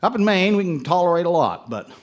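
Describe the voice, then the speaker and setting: A man's voice: sits high, big pitch swings, quick pace. Man acting a folksy storytelling fisherman, swooping intonation. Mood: wry, rueful. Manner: wry, folksy. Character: folksy lonely Maine ice fisherman telling a ghostly tale. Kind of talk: live radio drama first-person storytelling. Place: live on stage in an auditorium. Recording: noisy live stage recording.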